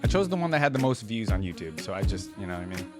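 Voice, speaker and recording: A man's voice: sits low, very animated, very fast, over loud music. A man giving casual reaction commentary, talking informally and hedging as he thinks aloud. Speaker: video creator reacting to music.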